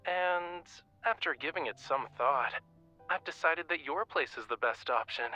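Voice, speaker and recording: A man's voice: on the high side, a little slow, pushed and bright, faint music behind, rough recording. An actor voicing a playful, self-assured young male artist in a romance video game, with a casual, lazily teasing manner. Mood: breezy, confident.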